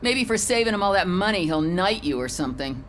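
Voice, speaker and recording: Woman playing deep-voiced sarcastic policewoman. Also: lively intonation, fairly quick, a little hiss or hum, close to an everyday voice. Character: sarcastic female police officer, dry and mocking. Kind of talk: video game voice acting.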